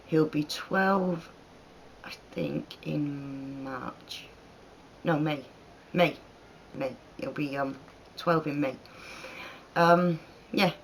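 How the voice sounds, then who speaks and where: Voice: mid-range voice, slight background noise, low-quality recording. A speaker in their thirties from northern England, with an accent that mixes northern and southern English, casually chatting through viewer questions, rambling and laughing often. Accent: mixed northern and southern English. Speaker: channel host answering viewer questions. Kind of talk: casual Q&A chat.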